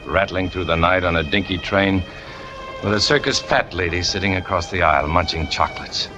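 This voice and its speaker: Man acting a deep, brooding noir trapeze killer. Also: fairly quick, lightly acted. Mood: anguished brooding. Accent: American. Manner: terse, hard-boiled, brooding. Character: brooding, lovesick trapeze artist turned killer. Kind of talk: 1940s radio noir acting, confessional narration. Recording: old 1940s radio recording with music.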